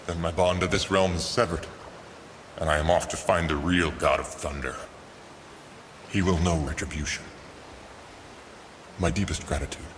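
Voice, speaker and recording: A man's voice: deep voice, fairly even intonation, normal speed, long pauses, natural voice. An actor playing a grieving, vengeful male ghost in a video game, solemn and theatrical. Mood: resolute. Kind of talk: video game cutscene acting. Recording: long cavernous reverb, faint noise.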